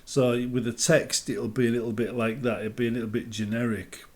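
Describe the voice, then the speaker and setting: A man's voice: mid-range voice, level tone, brisk, darkish tone. Man giving casual, explanatory gaming commentary. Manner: explanatory, opinionated, thinking aloud. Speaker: gaming video creator and modder.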